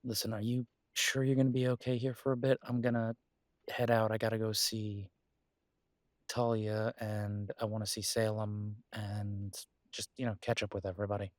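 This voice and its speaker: A man's voice: lowish voice, level tone, normal speed, no echo, clean studio sound, natural voice. A man playing a caring, easygoing werewolf pack leader in an audio roleplay, talking in a casual, conversational way with trailing phrases. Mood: tender, relaxed.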